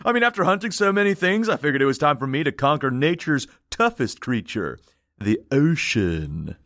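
A man playing a laid-back, dim surfer dude with a slangy, drawn-out drawl in a comedy. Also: a little high, sing-song, fairly bright tone, dry recording, studio quality. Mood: breezy, boastful. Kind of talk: comic audio drama acting.

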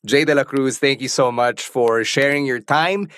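A man's voice: mid-range voice, normal speed, a bit bright, clean tone, no echo, clean studio sound. A man hosting a podcast in a conversational style. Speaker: podcast host.

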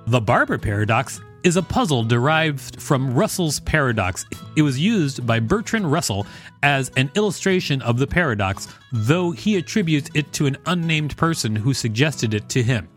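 A man's voice: mid-range voice, animated, moderate pace, faint music behind. A man hosting a podcast, reading an encyclopedia article aloud with joking, informal commentary. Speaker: podcast host.

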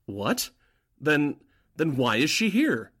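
An actor playing an earnest, easily flustered underling of Nazi agents, speaking deferentially in 1940s radio drama style. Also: male voice, fairly high pitch, huge pitch swings, slow pace, a bit bright, breathy edge, heavily acted. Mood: astonished. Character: eager, deferential male informant for Nazi agents.

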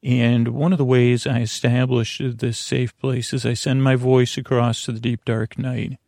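Creaky-voiced, meandering male sleep podcaster. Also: mid-range voice, flat delivery, a little mellow, dry recording, clean studio sound. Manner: halting, self-interrupting, trailing into tangents. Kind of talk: rambling, meandering bedtime monologue.

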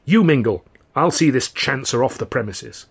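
A man's voice: mid-range voice, animated, normal speed, gravelly, husky, voice pushed up. A man voicing a contemptuous, officious head waiter, curt and domineering, in an audiobook. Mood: angry, dismissive. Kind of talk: audiobook character dialogue.

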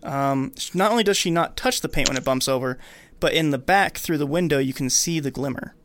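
A man's voice: on the high side, brisk, a bit bright. A man co-hosting a casual discussion, retelling a film step by step. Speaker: podcast co-host.

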